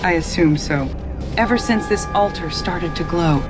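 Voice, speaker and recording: A woman's voice: on the low side, moderate pace, over music, close to an everyday voice. A woman playing a weary, no-nonsense cellist in a motion comic, dry and matter-of-fact. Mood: weary, grave. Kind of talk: animated motion comic acting.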